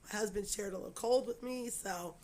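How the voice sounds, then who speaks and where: A woman hoarse from a cold, her voice raspy and scratchy. She talks to her viewers at home in a loose, rambling way, full of fillers and apologies. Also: medium pitch, a little fast, darkish tone. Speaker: crochet channel host. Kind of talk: casual chat to camera.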